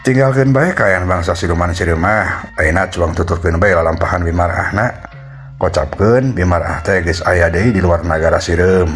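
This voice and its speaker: A man's voice: low-pitched, moderate pace. A man with a Sundanese accent narrating a folktale as its storyteller. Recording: music behind the voice.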